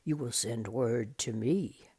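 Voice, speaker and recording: A woman voicing an ancient male tree giant in a storybook, slow, solemn, sad and fond, with drawn-out words. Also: very deep voice, dark tone, breathy edge, pitched below the performer's own voice, full character voice. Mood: fond, gentle.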